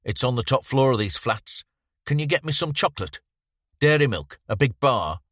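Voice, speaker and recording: Menacing teen thug, as a male narrator puts him on, curt, coaxing or hostile, raised pitch, dry sound. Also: animated, normal speed, fairly bright tone, dry recording. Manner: curt, aggressive, swearing. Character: menacing sixteen-year-old male thug.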